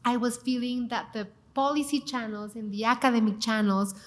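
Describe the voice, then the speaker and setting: A woman's voice: fairly high pitch, steady tone, normal speed. A woman from Mexico with a Mexican accent, a cooking show host speaking expressively and emphatically as a panel guest. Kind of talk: panel conversation.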